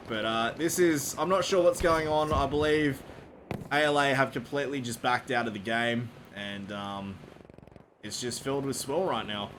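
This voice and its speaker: A man's voice: mid-range voice, noisy background. A man chatting casually after a game, rambling with many fillers. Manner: relaxed, rambling with fillers, laughing. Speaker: esports caster. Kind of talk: casual post-match chat.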